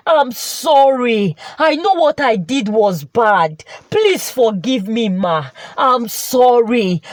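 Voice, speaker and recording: A woman storyteller voicing a distraught, repentant married woman, exclaiming and pleading in drawn-out phrases. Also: sits high, dry recording, full character voice.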